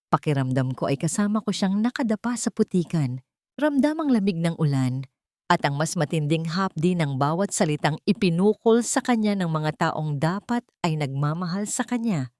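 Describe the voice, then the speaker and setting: Female storyteller for audio drama: lower register, measured and sentimental delivery, very dry recording. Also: darkish tone, no echo. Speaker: storyteller narrator. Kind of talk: scripted audio drama narration in Tagalog.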